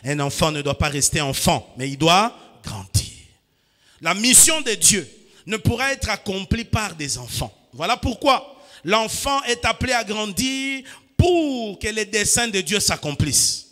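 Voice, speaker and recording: A man from DR Congo preaching a sermon, moving from quiet asides to shouted calls to the congregation. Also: high voice, very animated, measured pace. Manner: fervent preaching with call-and-response, swinging between quiet asides and shouts. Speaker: pastor. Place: church. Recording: church hall reverb.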